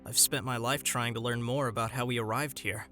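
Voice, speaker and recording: A man playing a young, adventurous alien historian in an animated film, earnest and hopeful in a reflective, conversational way. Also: mid-range voice, a little fast, close to an everyday voice. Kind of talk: animated film voice-over narration and dialogue. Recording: music under the voice.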